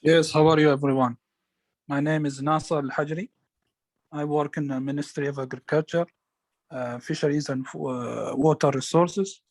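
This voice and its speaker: A man who works for a government ministry, giving a halting self-introduction to an online group. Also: a little high, fairly even intonation, dry recording. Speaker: ministry employee, training participant.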